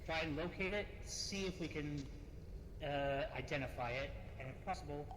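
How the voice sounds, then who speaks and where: A man talking casually as a player in an online role-play, often halting and repeating his phrases. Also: on the high side. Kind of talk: casual in-character tabletop-style role-play. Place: online VRChat voice session. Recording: echoey reverberant audio with background noise.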